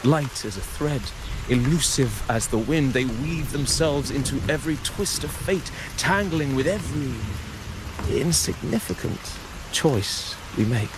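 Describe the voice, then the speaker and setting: An actor playing a humble male storyteller poet in a video game, speaking in a measured, poetic, declamatory way. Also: medium pitch, background noise, close to an everyday voice. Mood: solemn. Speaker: game character. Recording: game audio with loud rain. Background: steady rain.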